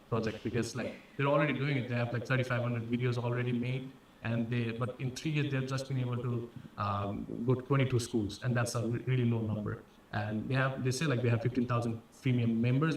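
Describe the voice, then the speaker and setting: A man from Nepal with a Nepali accent, presenting a startup pitch and answering questions in a halting way, often repeating and restarting phrases. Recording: echoey room, distant mic, faint noise.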